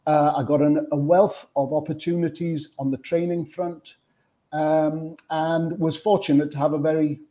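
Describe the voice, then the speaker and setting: A man's voice: a little high, mellow. A man in his fifties from Wales with a Welsh accent, recalling his career conversationally as an interview guest. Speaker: interview guest, television sports producer.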